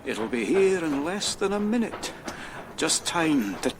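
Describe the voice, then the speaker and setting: Stammering, wicked villain, wildly swooping delivery. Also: male voice, a little high, big pitch swings, a little slow, background noise. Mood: gloating contempt. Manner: taunting, menacing, theatrical. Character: Edward Hyde, wicked sadistic chemically created alter ego. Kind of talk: radio drama acting. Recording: music behind.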